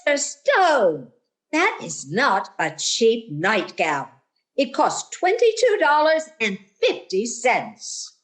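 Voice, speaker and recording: A woman's voice: fairly high pitch, huge pitch swings, slow, heavily acted. An actress playing a chatty, blithe older lady with an airy, sing-song delivery in a radio sitcom. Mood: affronted. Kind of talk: 1940s radio sitcom acting.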